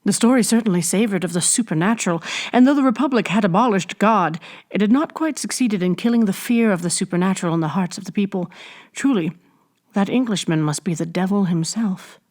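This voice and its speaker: A woman's voice: medium pitch, brisk, a little mellow. Woman reading a classic novel expressively. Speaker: audiobook reader.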